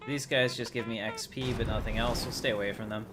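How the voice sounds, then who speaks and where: A man's voice: on the low side, steady tone, a little fast. A man giving casual, rambling livestream commentary as he thinks aloud while playing a game. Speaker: livestream host. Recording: clearly noisy background.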